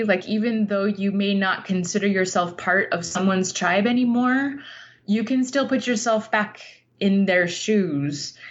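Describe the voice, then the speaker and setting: A woman giving a reflective, conversational interview as a guest writer, speaking candidly and informally in a halting way over muffled audio. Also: fairly low pitch.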